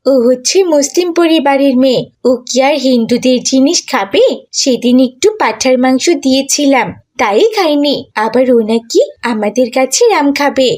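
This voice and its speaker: A woman's voice: high voice, normal speed, darkish tone. A woman voicing a spiteful, petulant cartoon schoolgirl with a bossy, sneering way of talking.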